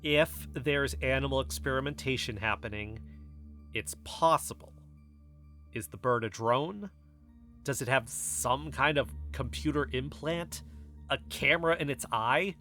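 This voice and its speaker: A man's voice: medium pitch, measured pace, sharp tone, soft background music. A man playing a paranoid, agitated investigative podcaster in an audio drama, speaking with urgent insistence. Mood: grim, suspicious. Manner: urgent, insistent, dwelling on suspicions. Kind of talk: audio fiction podcast dialogue.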